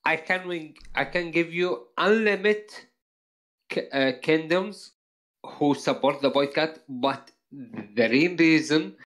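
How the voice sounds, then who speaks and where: A man's voice: on the high side, a bit bright. A man whose first language is Arabic, talking casually on a live stream and often stopping to find his words. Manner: halting, repeats words while searching for phrasing. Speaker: guest player.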